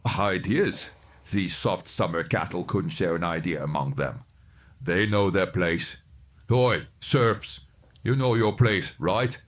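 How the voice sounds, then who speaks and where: A man voicing a gruff, contemptuous male thug soldier who sneers and grumbles. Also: deep voice, takes it slowly, voice pushed down. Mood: sneering. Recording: dull lo-fi recording.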